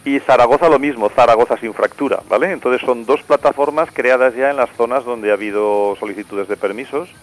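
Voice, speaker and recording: A man with an accent from Spain speaking as an expert radio guest, explaining in a measured, didactic way. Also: medium pitch, low-quality recording. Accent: Spanish from Spain. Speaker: geology professor, radio guest. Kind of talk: explanatory interview talk.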